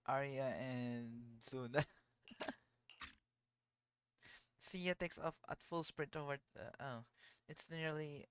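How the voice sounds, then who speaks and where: A game streamer reading dialogue aloud haltingly and reacting casually during a live stream. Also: medium pitch, smooth.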